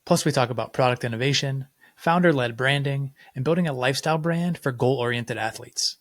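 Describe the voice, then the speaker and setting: A man's voice: mid-range voice, a little fast. Casual male podcast host. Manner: friendly, casual, scripted intro then relaxed questions. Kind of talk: podcast hosting and interviewing.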